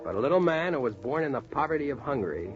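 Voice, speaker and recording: An actor playing a plain-spoken, heartfelt American storyteller in 1940s radio-drama style, with a folksy, emphatic manner. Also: male voice, medium pitch, sing-song, fast, over loud music, lightly acted. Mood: grave. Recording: noisy, dull 1940s radio recording.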